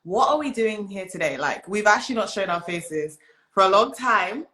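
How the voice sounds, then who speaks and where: A woman's voice: mid-range voice, animated, moderate pace, pushed and bright. A young British woman chatting casually and playfully as a podcast co-host. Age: young adult. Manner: playful, joking. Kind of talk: casual chat.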